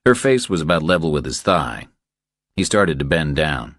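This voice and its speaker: Audiobook narrator: man, very deep register, dry studio-close sound, scripted thriller read. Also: big pitch swings, normal speed, a bit bright, dry recording.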